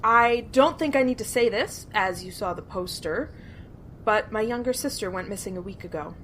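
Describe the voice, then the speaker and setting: An actress playing a determined, troubled older sister in an audio drama, speaking in a formal, earnest way. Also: female voice, medium pitch, normal speed, a bit bright, a little hiss or hum, lightly acted. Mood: guarded. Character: distraught, proper, determined older sister of missing girl.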